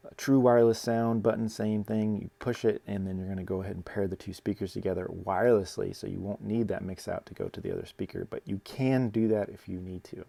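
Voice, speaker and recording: A man with a lowish, fry-heavy voice presents equipment in a casual review style. He explains step by step in plain, informal phrasing, often repeating himself. The sound is dry and close, with little room in it. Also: steady tone, fairly quick, warm tone, dry recording. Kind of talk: casual product demonstration.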